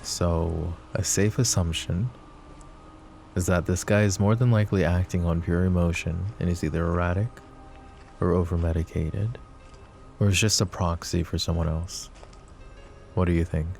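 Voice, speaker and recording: A man playing a possessive young adult mafia boss in an ASMR roleplay, speaking low and measured to an unheard listener. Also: steady tone, creaky, a little mellow, soft background music. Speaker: voice actor.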